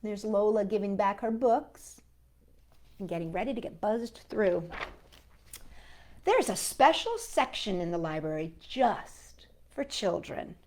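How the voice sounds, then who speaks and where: A woman's voice: mid-range voice, lively intonation, a little slow, a little mellow. A woman hosting a children's storytime, reading a picture book expressively and chatting to young listeners in asides.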